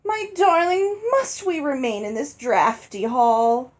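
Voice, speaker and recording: A woman's voice: sits high, slow, darkish tone, voice pushed up. A woman voicing a vain, greedy older stepmother in a storybook, pouty and overwrought. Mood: pouting.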